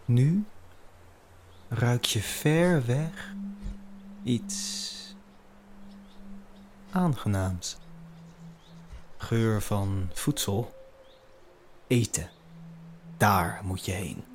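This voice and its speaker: A man narrating an audio drama in scripted, suspenseful short phrases with long pauses. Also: on the low side, a little hiss or hum. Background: music.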